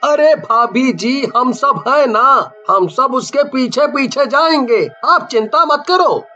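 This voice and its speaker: A man voicing an alarmed, take-charge villager in a cartoon story, emphatic and excitable. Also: very high-pitched, slow pace, pitched above the performer's own voice, full character voice. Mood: urging. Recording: muffled band-limited audio, music behind.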